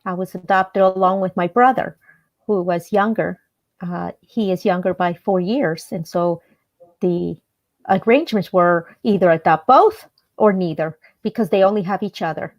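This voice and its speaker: A woman's voice: lowish voice, normal speed, no room sound. A woman from El Salvador, a native Spanish speaker, telling her personal story as an interview guest. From El Salvador, then Maryland, United States from age ten.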